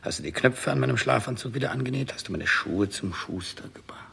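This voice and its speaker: A man playing a frightened, imperious dying king clinging to life in a 1960s German radio play, with a gravelly, husky, declamatory delivery. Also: medium pitch, animated, fast, fairly bright tone, heavily acted. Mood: wistful questioning.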